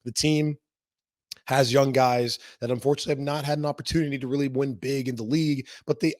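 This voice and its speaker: Hoarse man hosting a sports podcast. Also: medium pitch, steady tone, quick pace, darkish tone, no echo, clean studio sound. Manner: casual, rambling. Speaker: podcast host.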